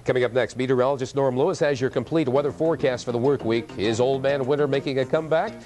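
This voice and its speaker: A man's voice: medium pitch, fast, darkish tone, soft background music. An American man anchoring television news, moving between scripted introductions and studio chat.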